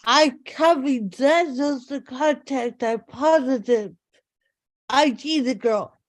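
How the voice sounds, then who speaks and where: A woman's voice: high-pitched, slow. A woman playing minor supporting characters in a drama script read. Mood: businesslike. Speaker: voice actor in a table read.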